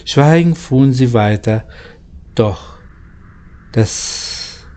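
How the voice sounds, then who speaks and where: A man reading a children's story aloud in a halting way, stumbling over words now and then. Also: mid-range voice, dark tone, a little hiss or hum. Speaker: amateur audiobook reader.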